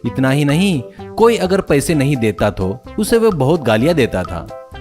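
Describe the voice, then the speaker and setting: A man's voice: mid-range voice, big pitch swings, normal speed, over music. A man narrating a scripted comic cartoon story.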